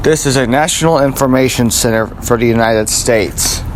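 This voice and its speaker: A male announcer delivers a formal emergency bulletin over clearly audible background noise. His pitch sits in an ordinary middle male range. The delivery is halting, with phrases repeated as he reads the script.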